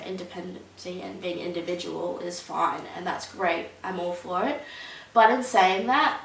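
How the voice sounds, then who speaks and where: A woman's voice: medium pitch, creaky, fairly bright tone. Young woman, hesitant interviewee, roomy distant sound with light hiss. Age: young adult. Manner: hesitant, many ums and likes. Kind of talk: casual interview answers.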